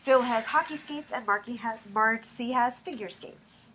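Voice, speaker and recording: Casting: female stage-directions narrator, bright forceful tone, medium pitch, faint room noise. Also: moderate pace, poor audio. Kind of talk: scripted narration at a play reading.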